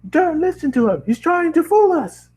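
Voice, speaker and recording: Storybook scientist, done by a man: alarmist, paranoid, yelling in a very high pitch. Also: very animated, normal speed. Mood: alarmed.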